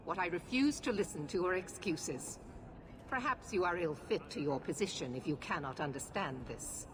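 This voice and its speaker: Woman acting stern, low-voiced female knight commander. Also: expressive, normal speed, background noise, natural voice. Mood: firm, defiant. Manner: commanding, severe, formal. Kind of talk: dramatic fantasy video game acting.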